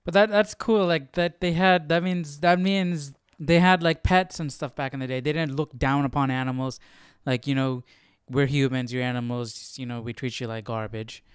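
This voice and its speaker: A man's voice: mid-range voice, steady tone, moderate pace, smooth, no echo, clean studio sound. A young man hosting a livestream study session, giving informal, slangy commentary as he muses aloud. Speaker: Bible study livestream host. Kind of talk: casual reaction commentary.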